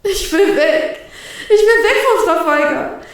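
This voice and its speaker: A desperate young woman in tears, as a woman puts her on, her voice pushed high and breaking with every sob. The sound is dry and close, with no room around it. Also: unhurried, no echo. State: crying.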